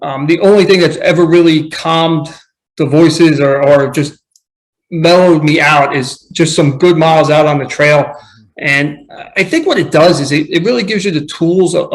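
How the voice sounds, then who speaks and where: A man's voice: on the high side, rough recording. A man guesting on a podcast, talking earnestly and reflectively in casual conversation, often restarting his sentences. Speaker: podcast guest, running coach.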